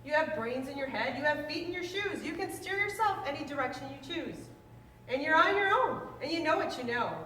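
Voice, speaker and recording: High, lively woman reading aloud. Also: a little fast. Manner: lively, sing-song rhyming delivery. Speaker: reader of a children's book. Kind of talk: read-aloud storytelling. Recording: roomy, distant mic, faint noise.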